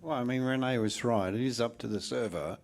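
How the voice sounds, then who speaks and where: A man in the audience who calmly explains the reasoning behind a standard, in a measured way. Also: mid-range voice, a little hiss or hum.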